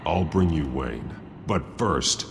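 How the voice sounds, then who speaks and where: A man's voice: extremely low pitch, animated, slow pace, gravelly, a little hiss or hum, exaggerated voice. A man playing a grim, determined masked vigilante hero in a video game, stern and commanding. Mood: stern, resolute.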